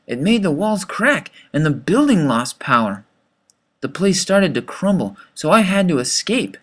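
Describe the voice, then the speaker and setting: A man reading a rhyming children's story as its author, with dramatic, expressive delivery. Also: a little high.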